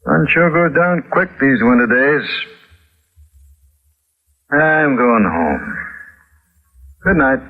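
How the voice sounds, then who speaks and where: A man's voice: medium pitch, lively intonation, slow pace, pauses often. A muffled old radio broadcast carries a police inspector. He is brusque and sure of himself, with an authoritative delivery that rises and falls freely and dismisses doubts in classic 1940s radio drama style. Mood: brisk, dismissive. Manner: assured, authoritative.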